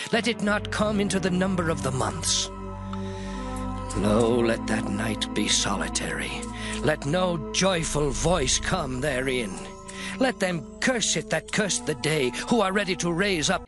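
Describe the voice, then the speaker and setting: An actor playing a grieving man in anguish, declaiming mournfully in a solemn scripture drama. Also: on the high side. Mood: bitter lament. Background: music.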